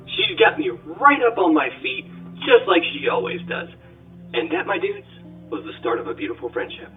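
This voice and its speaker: Guy doing a cocky joker robot gunslinger spinning a wild story, high voice, sounds tinny like a phone. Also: moderate pace, recorded from a distance. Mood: wry, subdued. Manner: theatrical, excitable storytelling with shouted sound effects. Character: brash wisecracking male robot gunslinger telling his tale. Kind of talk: dramatic first-person audiobook monologue. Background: music.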